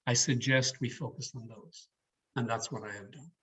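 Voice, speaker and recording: A man leading an online public speaking workshop in a deliberate, emphatic, varied delivery, as a trainer addressing his learners. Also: medium pitch, fairly even intonation, fairly bright tone, breathy edge. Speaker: speech trainer giving an educational session. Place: online video call. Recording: slightly muffled, lo-fi video call audio.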